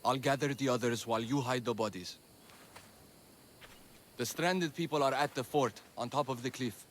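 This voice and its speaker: A man's voice: medium pitch, normal speed, slight background noise, close to an everyday voice. An actor playing an earnest, idealistic, caring young man of the ancient world in a video game cutscene. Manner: sincere, idealistic.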